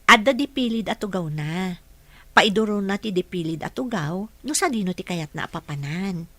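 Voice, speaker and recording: A woman's voice: medium pitch, expressive, normal speed, a bit bright, no room sound. A Filipino woman playing an earnest, patient single mother who works as a caregiver in a radio drama. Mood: gentle explaining.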